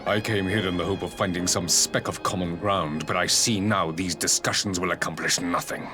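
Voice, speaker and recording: An actor playing a stern, haughty emperor who speaks in grave, measured archaic speech for a video game. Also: male voice, low-pitched, steady tone, music in the background, lightly acted. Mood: cold disdain. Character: stern, imperious emperor, haughty and weary of talk.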